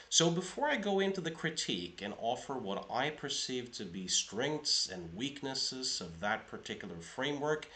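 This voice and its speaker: Slow, lively-voiced Norwegian-raised man. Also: mid-range voice. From Norway. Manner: measured, explanatory, thinking aloud. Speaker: psychotherapist. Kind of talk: solo video lecture.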